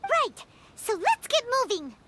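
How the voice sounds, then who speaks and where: A woman voicing a tiny, excitable fairy companion in a video game, squeaky and childlike, exclaiming and asking with wide-eyed curiosity. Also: a little slow, noisy background, heavily acted. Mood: eager.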